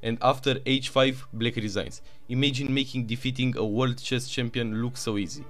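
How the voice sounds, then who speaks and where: A man with a Romanian accent narrating chess games move by move, with excited asides. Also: medium pitch, moderate pace, faint music behind. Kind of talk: chess game commentary.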